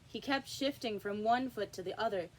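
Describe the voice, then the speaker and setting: A woman reading a children's classic aloud as an expressive storybook narrator. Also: mid-range voice, moderate pace, slight background noise, poor audio. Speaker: storybook reader.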